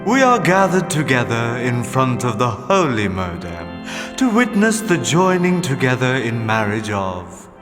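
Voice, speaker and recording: An actor playing a solemn officiant conducting a wedding in an audio drama. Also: male voice, mid-range voice, very animated, measured pace. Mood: ceremonious. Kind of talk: audio drama acting in a trailer. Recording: long hall reverb, music behind.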